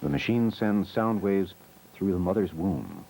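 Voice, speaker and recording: A man narrating an educational film in a measured, explanatory manner, reading scripted short, simple sentences. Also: low voice, sing-song, vocal fry, dark tone, a little hiss or hum, rough recording. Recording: old VHS educational film soundtrack. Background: music.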